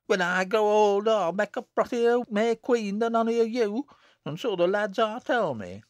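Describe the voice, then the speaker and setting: A man voicing a spiteful, peevish little girl with a sharp, taunting tongue and a Lancashire accent, as an audiobook character. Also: high-pitched, huge pitch swings, a little slow, slightly rough, no echo, voice pushed up, exaggerated voice. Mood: jealous, defiant.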